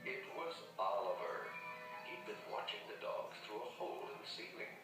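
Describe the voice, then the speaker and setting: A man's voice: lowish voice, animated, moderate pace. A man narrating a scripted children's story as a storybook narrator. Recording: echoey distant playback with music behind.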